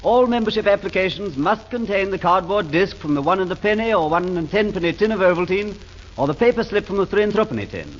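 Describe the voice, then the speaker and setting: Man, formal measured announcing, old-time radio, dull lo-fi recording. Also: high voice, lively intonation, slight background noise. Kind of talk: 1930s radio sponsor announcement.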